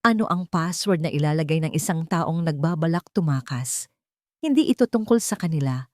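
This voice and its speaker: A woman with a Filipino accent reading a scripted love story as a narrator, in a dramatic, deliberate way. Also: low voice, animated, darkish tone, no room sound, studio quality.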